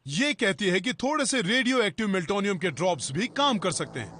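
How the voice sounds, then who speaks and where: A man voicing an eager, bumbling dad in a cartoon dub, earnest and excitable. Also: high voice, brisk, no echo, heavily acted. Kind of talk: dubbed cartoon acting. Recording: music behind the voice.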